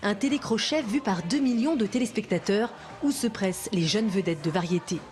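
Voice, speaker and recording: A woman presenter narrating a TV report in a clear, lively scripted delivery. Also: mid-range voice, fairly quick.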